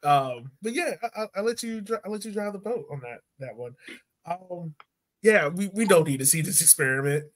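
A man's voice: sits high, expressive, moderate pace. A man in his thirties co-hosting a casual soccer chat over a video call from home. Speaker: soccer podcast co-host. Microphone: video call microphone.

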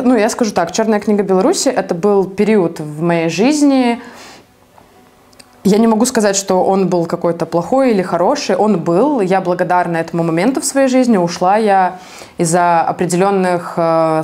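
A woman from Belarus answering interview questions as an activist, speaking conversationally and a bit haltingly as she thinks aloud. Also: mid-range voice, darkish tone.

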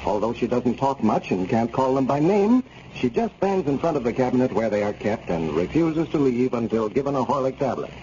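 Male announcer, animated intonation, formal, measured, 1930s advertising style, faint noise. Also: medium pitch. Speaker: radio announcer. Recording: muffled, noisy 1930s radio recording.

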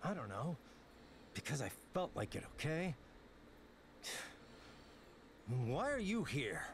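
Stubborn, brooding, expressive young rebel. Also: male voice, a little high, normal speed, slight background noise. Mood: defiant. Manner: curt, wry, casual. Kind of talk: dramatic video game voice acting.

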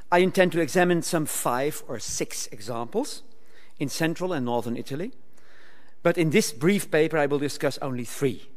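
An older man reading a formal academic paper aloud at a lectern, measured and occasionally stumbling over words. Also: medium pitch. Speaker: conference speaker. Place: lecture hall, speaking at a lectern. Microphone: lectern microphone. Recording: reverberant hall.

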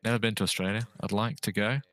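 A man's voice: on the low side, steady tone, fast talker, a bit bright, dry recording. A man from Britain co-hosting a game stream, politely reading out chat and thanking subscribers. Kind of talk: casual chat while gaming. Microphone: gaming headset.

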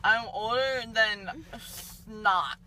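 A young woman talks in a groggy, slurred ramble, her numb mouth softening the words. She drifts into silly sing-song snatches at a mid pitch and an unhurried pace. Road noise from a moving car hums clearly under her, caught on a phone. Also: rough recording. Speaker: patient after wisdom teeth removal. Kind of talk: loopy rambling chat. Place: passenger seat of a moving car. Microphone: phone camera held by driver.

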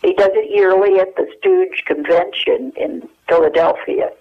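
Older woman, phone-in guest, halting and chatty; muffled telephone sound. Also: medium pitch, lively intonation. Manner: rambling, halting with many fillers. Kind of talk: telephone interview.

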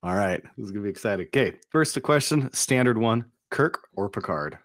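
A middle-aged man hosts a casual interview with upbeat, quick reactions. His voice sits fairly low. It is picked up dry and close on a boom mic in a home studio. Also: animated, no room sound. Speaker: interview host. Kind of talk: casual video-call interview. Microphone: boom studio microphone with headphones.

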